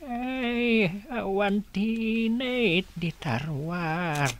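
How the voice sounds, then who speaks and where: A man playing a stubborn elderly father who feigns muddled senility in a radio drama, with a querulous, drawn-out delivery. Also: sits high, slight background noise. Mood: muddled, complaining. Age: older.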